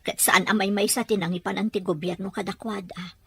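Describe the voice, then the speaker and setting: A woman playing a tender, sorrowful mother with a husky voice and a Filipino accent in an audio drama. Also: mid-range voice, fairly even intonation, a little fast, fairly bright tone, no echo. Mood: regretful. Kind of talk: Ilocano audio drama acting.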